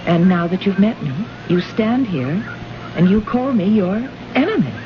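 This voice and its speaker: A woman's voice: medium pitch, expressive, moderate pace, lightly acted. An actress playing an earnest, puzzled woman diplomat of the far future in old-time radio drama style, questioning with emphasis. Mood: puzzled, wounded. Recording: noisy, muffled old radio recording.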